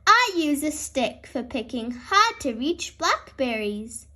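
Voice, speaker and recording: A woman's voice: high voice, big pitch swings, slow pace, pushed and bright, heavily acted. A woman voicing an old grandfather pig from a storybook, practical and cautioning, giving emphatic instructions.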